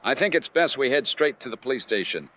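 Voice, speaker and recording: An actor playing a confident insurance investigator and wry narrator in old-time radio detective style, polite but firm. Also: male voice, mid-range voice, animated, a little fast, bright, forceful tone, highs cut off, a little hiss or hum.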